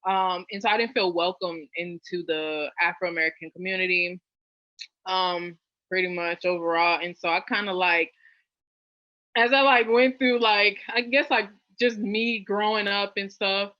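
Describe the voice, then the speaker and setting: A young woman from New Orleans chatting as an interview guest, with lots of fillers and lively jumps in pitch. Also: unhurried, dry recording. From New Orleans, US South. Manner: chatty, many fillers, animated rises in pitch. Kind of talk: casual interview chat. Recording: dull lo-fi dry sound.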